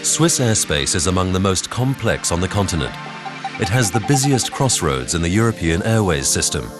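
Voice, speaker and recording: Low, varied male narrator over music. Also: big pitch swings. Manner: measured, instructional delivery. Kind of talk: scripted documentary narration. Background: background music.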